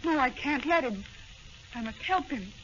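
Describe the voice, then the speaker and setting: A woman's voice: high-pitched, animated, moderate pace, dull audio. A woman playing an earnest, bold young daughter of a police commissioner in melodramatic 1940s radio serial style. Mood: anxious, resolute. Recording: noisy, band-limited old radio recording.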